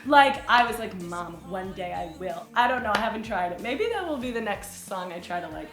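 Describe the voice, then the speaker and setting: Chatty young woman, mid-pitched voice, roomy recording, faint music behind. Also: expressive, brisk. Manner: chatty, laughs often, sings snippets. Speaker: podcast guest, singer. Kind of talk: casual chat.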